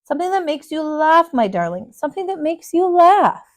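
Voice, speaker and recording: Storybook role: kindly old neighbour, high-pitched, slow emphatic wisdom for a child acted by a woman. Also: big pitch swings. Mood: kindly, wise.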